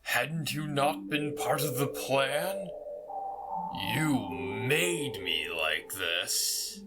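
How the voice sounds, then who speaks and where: A man's voice: medium pitch, animated. A man playing the ghost of a dead king who coldly urges his queen to murder, in an audio drama. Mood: menacing. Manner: slow, menacing urging. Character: ghost of a dead Scottish king, vengeful and commanding. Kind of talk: amateur audio drama acting. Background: clear music.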